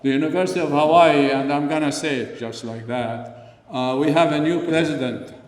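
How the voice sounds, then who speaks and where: An older man from Lebanon giving an earnest, unscripted speech at a community dinner, pausing often with fillers. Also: fairly high pitch. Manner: earnest, frequent pauses and fillers. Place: large hall. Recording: echoey hall, voice from far off.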